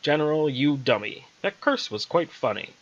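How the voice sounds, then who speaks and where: A man's voice: fairly high pitch, sing-song, moderate pace, lo-fi sound, voice pushed up. A man voicing a wry, casual female witch who offers curse removal in a read-aloud story. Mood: chanting.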